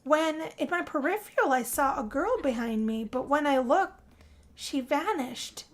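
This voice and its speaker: Slow, high-pitched woman narrating dramatically. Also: animated. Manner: theatrical, drawn-out delivery with pauses. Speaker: gameplay narrator. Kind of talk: first-person role-play storytelling, dramatic narration.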